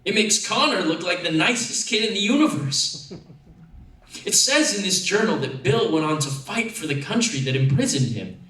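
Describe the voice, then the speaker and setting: A man reads a story aloud in a slightly high voice, with an actor's expressive delivery. The recording is roomy and echoing, with him standing some way from the microphone. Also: moderate pace. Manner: expressive, acting out dialogue. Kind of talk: dramatic first-person story reading. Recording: echoey room, distant microphone.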